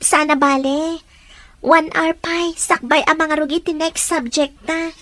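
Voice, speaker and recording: A woman voicing a very high-pitched college girl. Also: steady tone, unhurried, a bit bright. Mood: friendly. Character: young woman college student, daughter.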